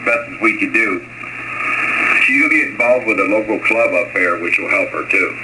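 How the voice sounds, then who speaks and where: A man with an American accent talks casually over amateur radio. His voice is fairly low, and heavy noise runs through the whole transmission. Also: normal speed. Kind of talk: casual on-air radio chat. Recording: very noisy ham radio transmission.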